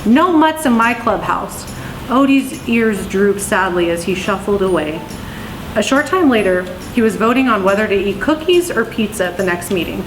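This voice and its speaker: A woman reading children's stories aloud in an expressive storytelling way. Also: mid-range voice, moderate pace. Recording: roomy echo, faint background noise.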